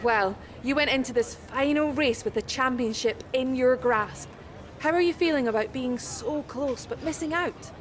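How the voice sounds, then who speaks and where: A woman's voice: high voice, moderate pace, a little hiss or hum, natural voice. A woman playing a polite, professional motorsport reporter doing probing interviews in a video game cutscene. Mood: sympathetic, probing.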